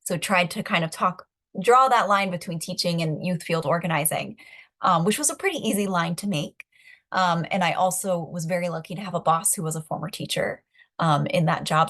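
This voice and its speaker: A woman's voice: lowish voice, moderate pace, fairly bright tone. A young adult woman answering a webinar panel question in a casual, chatty way, with frequent fillers. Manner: chatty, many fillers and likes. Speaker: advocacy organizer, webinar panelist.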